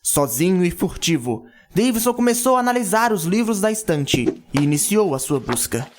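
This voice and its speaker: A man's voice: sits high, big pitch swings, normal speed. A man narrating a scripted fantasy audio drama in a dramatic storytelling style. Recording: background music.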